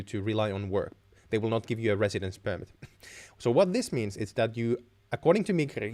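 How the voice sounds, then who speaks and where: A man's voice: mid-range voice, darkish tone. A young man, a native Finnish speaker with a Finnish accent, hosting an informal Q&A and explaining things with frequent self-corrections. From Finland. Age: young adult. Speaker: live stream host. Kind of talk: informal Q&A advice.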